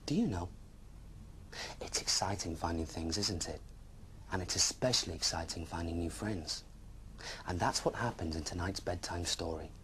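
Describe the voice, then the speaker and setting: A man telling a bedtime story to young children, gently addressing the listener with questions. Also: low voice, moderate pace, long pauses, slight background noise.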